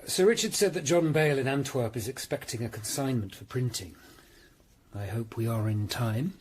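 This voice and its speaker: An actor playing a grave, dutiful Tudor lawyer-detective in a radio drama, speaking in a measured, formal and earnest way. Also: male voice, medium pitch, darkish tone, slight background noise, close to an everyday voice. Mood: guarded, businesslike. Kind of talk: radio drama acting and first-person narration.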